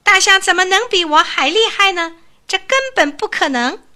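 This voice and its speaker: A woman voicing an arrogant, hot-tempered little male mouse who squeaks and shouts in a storybook. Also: big pitch swings, a little slow, heavily acted. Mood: indignant.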